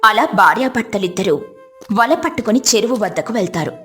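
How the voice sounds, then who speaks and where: A woman's voice: medium pitch, expressive, brisk, a bit bright, faint music behind. A woman storyteller reading scripted narration for a moral tale.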